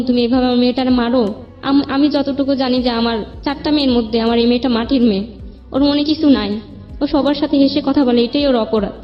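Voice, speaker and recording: A woman with a fairly high voice and a Bangladeshi accent recounts her own life as an interview guest. She speaks in a plain, rambling way and acts out reported dialogue. The sound is slightly dull, with music playing behind her. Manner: rambling, plain-spoken narration with quoted dialogue. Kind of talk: personal storytelling interview. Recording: band-limited dull sound with background music.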